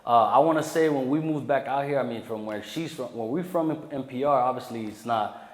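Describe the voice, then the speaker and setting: A man's voice: mid-range voice, fairly quick. A man from Puerto Rico, a guest on a podcast, telling his story in casual chat with many fillers. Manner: laid-back, many "you know" fillers. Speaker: podcast guest, tax preparer. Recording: roomy echoey hall.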